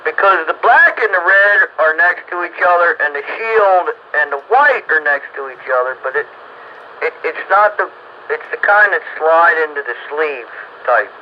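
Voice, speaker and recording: A man talking casually over a radio, halting and repeating words as he asks for help as a hobbyist. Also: a little high, animated. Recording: muffled noisy two-way radio.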